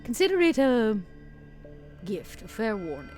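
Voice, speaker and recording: A woman's voice: fairly high pitch, very animated, measured pace, mellow, soft background music. A woman playing a poised, enigmatic vampire envoy in a tabletop game, speaking in an elegant, formal and coy manner. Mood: smooth, diplomatic.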